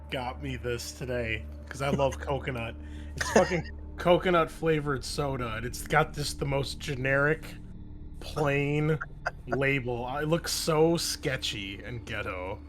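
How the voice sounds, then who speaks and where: A man's voice: mid-range voice, unhurried, a bit bright. Casual man running a tabletop game over music. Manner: informal, sweary. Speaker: game master and host.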